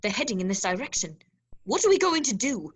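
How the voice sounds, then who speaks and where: A woman's voice: mid-range voice, very animated, brisk, slightly rough. A woman playing an anxious, slender humanoid robot in a script table read, fretful and dramatic in her phrasing. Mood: fretting.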